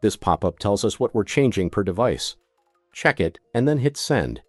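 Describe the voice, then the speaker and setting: Male tutorial narrator, methodical product demonstrator with animated intonation, recorded close and dry. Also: lowish voice, normal speed, dry recording, soft background music. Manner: methodical, step-by-step instruction.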